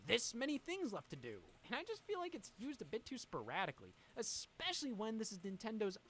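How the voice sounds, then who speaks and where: A woman's voice: on the high side, huge pitch swings, a little fast, fairly bright tone. Wry woman reviewing a game. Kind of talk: video game review narration.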